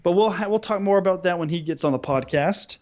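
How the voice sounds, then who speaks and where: A man's voice: sits high, fast talker, a little mellow. A man co-hosting a podcast in casual comedic banter, talking in a jokey, rambling way. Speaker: podcast co-host.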